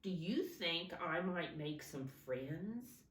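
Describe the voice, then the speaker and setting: A woman's voice: fairly low pitch, lively intonation, takes it slowly, a bit bright. A woman voicing an eager, childlike young female bear in a children's storybook, with dramatic delivery. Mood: hopeful. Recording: roomy echo, distant microphone.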